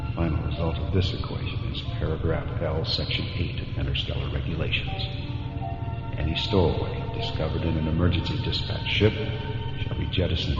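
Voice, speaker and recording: An actor playing a grave, compassionate spaceship pilot in a science fiction radio drama, speaking in a measured way that halts when he is upset. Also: sits low, lightly acted. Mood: somber, resigned. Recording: dull lo-fi radio drama, music underneath.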